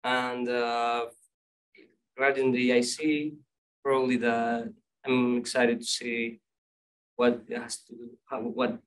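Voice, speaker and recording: A man from Spain introducing himself, halting and searching for words. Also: mid-range voice, level tone, a bit bright, rough recording. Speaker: summer school student. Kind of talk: self-introduction.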